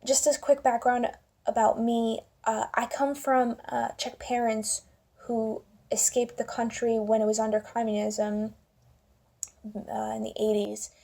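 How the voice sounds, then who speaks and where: A young woman with a fairly high voice that often drops into heavy creak. Her tone is mellow and dark-edged. She talks informally and wryly, as in an opinion vlog. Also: little pitch movement, a little slow. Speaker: video commentator. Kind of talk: casual opinion vlog to camera.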